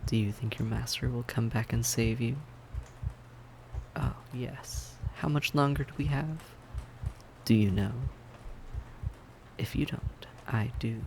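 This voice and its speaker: Man playing a suave, creaky-voiced predatory vampire. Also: medium pitch, a little mellow, slight background noise, low-quality recording. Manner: teasing, condescending, deliberate theatrical phrasing. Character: suave, predatory male vampire toying with his prey. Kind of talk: scripted roleplay audio drama monologue.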